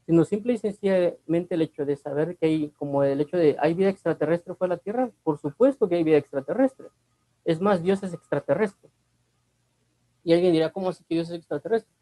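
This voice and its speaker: A man's voice: fairly high pitch, warm tone, no echo, low-quality recording. A man with a Guatemalan Spanish accent gives a conversational Bible teaching. He repeats himself and asks the listener rhetorical questions. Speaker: preacher.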